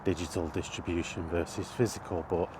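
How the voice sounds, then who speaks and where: A British man giving rambling, casual commentary while walking outdoors, halting and thinking aloud as he goes. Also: deep voice, fairly even intonation. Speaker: video host. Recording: outdoor ambient noise throughout.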